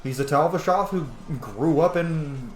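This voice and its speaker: A man's voice: on the high side, animated, unhurried, slight background noise. A man giving casual, rambling commentary while playing a roleplay game, chatty and full of fillers and asides. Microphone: camera setup at home. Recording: roomy echo.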